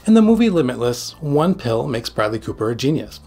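Husky man giving a dramatic explainer. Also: medium pitch, sing-song, a little fast, dark tone. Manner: emphatic, dramatic phrasing. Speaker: psychiatrist and video host. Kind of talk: scripted explainer narration to camera. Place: small home studio room. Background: background music.

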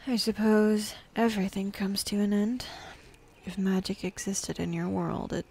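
A woman playing a bossy, jaded female demon in an ASMR roleplay, speaking softly and often half-whispering, with dismissive sighs. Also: medium pitch, creaky, husky, full character voice. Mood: dismissive, amused.

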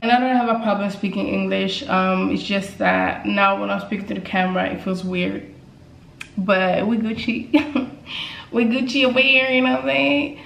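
A woman's voice: medium pitch, steady tone, moderate pace, gravelly, fairly bright tone. A young woman, talking casually to camera in a vlog from her apartment, chatty and repeating phrases for emphasis. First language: Swedish. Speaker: YouTuber. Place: indoors, bright apartment living room. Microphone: camera mic at desk distance. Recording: echoey room.

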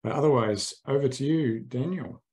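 A man hosting a webinar, giving a brisk, friendly introduction and housekeeping notes over a video call. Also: mid-range voice, darkish tone. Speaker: webinar host. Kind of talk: event introduction. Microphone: computer mic on video call.

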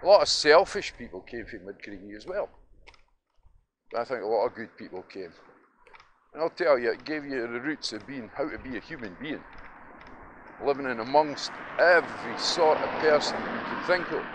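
A man's voice: medium pitch, lively intonation, normal speed, a bit bright. Dundee man rambling over street noise. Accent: Dundee Scottish. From Dundee, Scotland. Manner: reminiscing, opinionated, emphatic, prone to chuckling. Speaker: vlogger. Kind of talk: rambling casual monologue while walking outdoors. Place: outdoors, walking along city streets. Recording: noisy outdoor audio with traffic. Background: passing vehicles, street ambience.

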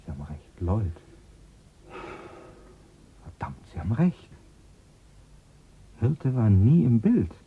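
A very husky, gravelly man speaks slowly and haltingly, sounding bewildered. His voice comes through a thin, phone-like radio play sound. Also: on the low side, sing-song, heavily acted. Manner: hesitant, bewildered. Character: confused man at mission control, Wagner.

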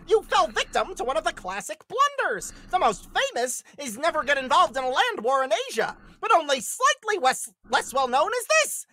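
A woman acts out a manic Sicilian villain for a comic script reading. The high, shrill voice gloats and cackles, leaping around in pitch. It sounds close and dry. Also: sing-song, normal speed, few pauses, fairly bright tone, dry recording. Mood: manic gloating. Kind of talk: live comedic script table read.

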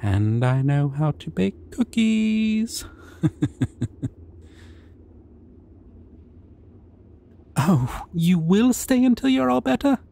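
A man voicing a shy, sweet, gentle dragon in an ASMR roleplay, speaking in a hesitant, tender and soothing way. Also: sits high, sing-song, slow, gravelly, full character voice. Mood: eager, delighted. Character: shy, sweet, gentle young male dragon, eager to please.

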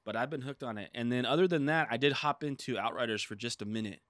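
A man's voice: mid-range voice, quick pace, no room sound. A man in his thirties co-hosting a gaming podcast, talking casually and rambling with lots of filler words, on a desk mic in a small studio room. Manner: rambling, many "like" fillers, animated retelling. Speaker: podcast co-host. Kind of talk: casual chat. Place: small studio room with dark curtain backdrop. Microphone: desk mic on boom arm.

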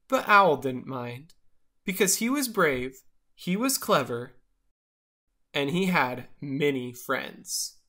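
Bright, animated children's storyteller. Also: medium pitch. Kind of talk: expressive children's picture-book read-aloud.

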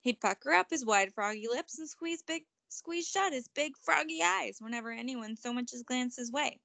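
A woman reading a children's picture book aloud as narrator, expressive and emphatic. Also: sits high, normal speed, gravelly, dry recording. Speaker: storybook reader.

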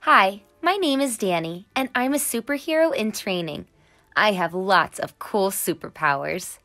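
A woman's voice: mid-range voice, expressive, a little slow, sharp tone. A woman voicing an eager, brave young boy superhero in training, earnest and dramatic, in a storybook. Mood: eager, proud. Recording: music under the voice.

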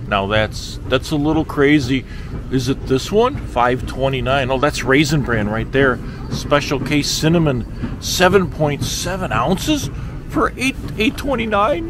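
A middle-aged man giving casual, exclamatory, incredulous commentary as he vlogs, often repeating himself, on a handheld camera in a large store. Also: mid-range voice. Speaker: vlogger. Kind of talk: casual running commentary on grocery prices. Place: large supermarket, indoors. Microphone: handheld camera at arm's length. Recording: noisy store ambience. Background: store background noise.

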